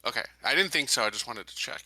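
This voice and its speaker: A man's voice: mid-range voice, lively intonation, quick pace, pushed and bright, no echo. A man playing a tabletop game, asking things casually and a little tentatively.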